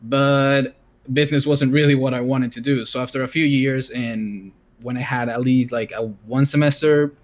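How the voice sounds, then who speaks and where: A man's voice: mid-range voice, fairly even intonation, normal speed. A young man from Venezuela, a game design student speaking hesitantly as a stream guest. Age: young adult.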